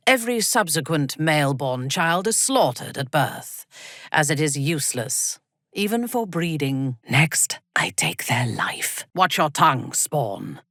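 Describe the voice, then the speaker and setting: An actress playing a haughty, ruthless female dark elf warlord in a video game, imperious and formal, with a breathy, husky voice. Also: low-pitched, no room sound, clean studio sound, heavily acted. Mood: cold, matter-of-fact. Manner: imperious, formal, deliberate, coldly menacing. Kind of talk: video game character dialogue.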